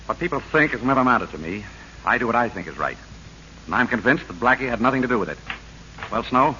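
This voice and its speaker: A forceful, upright radio-drama prosecutor. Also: male voice, medium pitch, animated, quick pace. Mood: firm, controlled. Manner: earnest, firm, clipped delivery. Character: upright, principled male prosecutor running for governor. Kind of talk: 1930s radio acting. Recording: muffled old radio recording with hiss.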